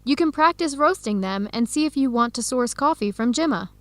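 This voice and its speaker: A young woman playing an earnest researcher searching for her birth family in an educational radio drama, speaking clearly and conversationally. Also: fairly high pitch, lively intonation, brisk, no echo, natural voice. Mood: warm, upbeat. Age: young adult.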